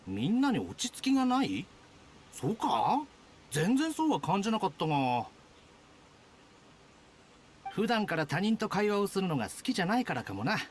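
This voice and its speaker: A man's voice: high-pitched, fast, pauses often, a little hiss or hum. A man playing a laid-back, aloof soldier who talks in an offhand way. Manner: relaxed, offhand. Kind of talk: Japanese game dub.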